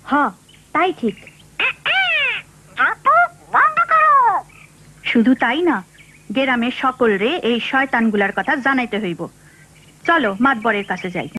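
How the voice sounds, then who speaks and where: A woman's voice: on the high side, animated, a little slow, fairly bright tone, a little hiss or hum. A woman voicing an earnest, eager young village girl in a cartoon, with a rural Bangladeshi accent. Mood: alarmed, determined. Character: bright, earnest, brave village girl of about nine. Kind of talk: cartoon voice acting.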